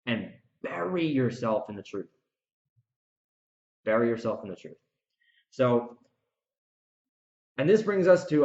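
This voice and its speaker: A man hosting a solo podcast in a rambling, emphatic, preachy way, repeating phrases for stress. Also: medium pitch. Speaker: podcast host and author. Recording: roomy echo, slightly dull lo-fi sound.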